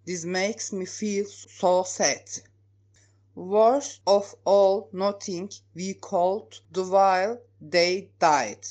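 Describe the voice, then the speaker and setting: Woman slowly reading a poem. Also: medium pitch, fairly even intonation, darkish tone. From Turkey. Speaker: language student. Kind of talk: reading her own poem aloud.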